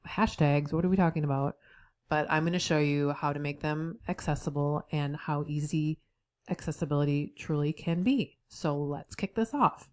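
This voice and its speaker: A woman's voice: low-pitched, moderate pace, darkish tone, no room sound. A middle-aged woman with a head cold and a slightly nasal voice, teaching a tutorial and encouraging the viewer directly. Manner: encouraging, speaks straight to the viewer with frequent "right?". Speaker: accessibility trainer. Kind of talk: tutorial video.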